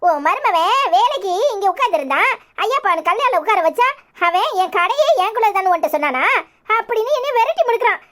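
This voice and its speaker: A woman's voice: extremely high pitch, very animated, a little fast, a bit bright, full character voice. A woman playing an aggrieved, stubborn older village mother in a melodrama, complaining insistently in a southern Tamil Nadu accent. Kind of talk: melodramatic village serial acting.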